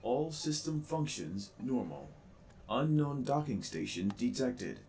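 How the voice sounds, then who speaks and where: A man voicing the onboard computer of a giant robot, giving flat, clipped system announcements. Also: mid-range voice, slow pace, slight background noise, pitched below the performer's own voice. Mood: mechanical. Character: calm male robot mech computer voice. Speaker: game reader.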